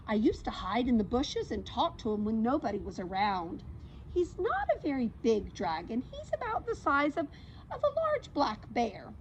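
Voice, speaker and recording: A woman voicing a kindly old female cat in a storybook, telling tales in an earnest, unhurried way. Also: fairly high pitch, muffled recording, a little hiss or hum. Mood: fond, earnest.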